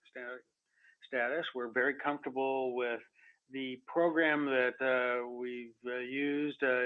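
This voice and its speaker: A man answering analyst questions as a company executive on a call, speaking off the cuff in a halting, stammering way. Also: mid-range voice. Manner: halting, stammering, heavy hesitation. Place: telephone conference call. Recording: muffled narrow phone-line audio.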